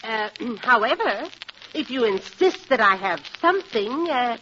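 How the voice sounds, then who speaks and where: An actress playing a prim, fussy and polite college dean in a vintage radio sitcom, speaking with genteel precision. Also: female voice, on the high side, animated, a little slow, sharp tone. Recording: muffled old radio recording, faint hiss.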